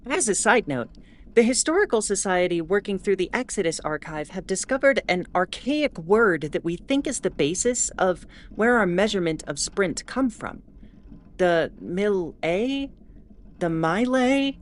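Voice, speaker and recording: A woman playing a wry, mildly exasperated starship announcer in a scripted audio drama, explaining in a measured way with asides. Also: medium pitch, expressive, natural voice. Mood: explanatory. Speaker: host.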